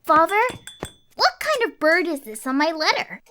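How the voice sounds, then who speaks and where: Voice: very high voice, sing-song, normal speed, sharp tone, a little hiss or hum, heavily acted. A performer in an audio drama playing a young, imaginative, happy boy who asks questions with wide-eyed eagerness. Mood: curious.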